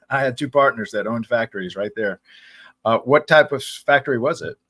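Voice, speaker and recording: A man hosts a remote interview, his talk loose and chatty as he rambles into his questions. The sound is dry and close, with no room around him. His pitch sits in an ordinary middle range. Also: normal speed, a bit bright, slightly rough, dry recording. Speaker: podcast host. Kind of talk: casual interview. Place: home in New Orleans.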